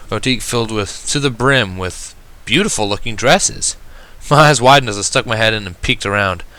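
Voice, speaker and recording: Male amateur story reader, sharp bright tone, mid-pitched, expressive first-person narration. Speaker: fan fiction reader.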